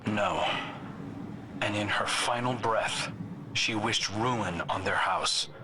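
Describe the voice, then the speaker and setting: Brooding low-voiced male scout, noisy background. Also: slow pace. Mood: intrigued storytelling. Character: earnest, troubled male scout, wry campfire storyteller. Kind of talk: video game cutscene dialogue.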